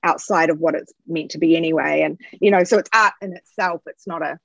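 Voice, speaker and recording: A woman's voice: low-pitched, steady tone, fairly quick, vocal fry, a bit bright, dry recording, dull audio. A woman from New Zealand with a Kiwi accent, chatting as a podcast guest about her art work, with lots of fillers and restarts. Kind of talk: casual interview chat.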